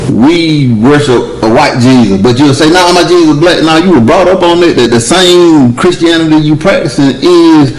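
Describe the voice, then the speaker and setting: A man, talking in an animated, emphatic way in a casual discussion. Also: normal speed, hardly any pauses, slight background noise, poor audio. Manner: animated, emphatic, rising pitch when arguing.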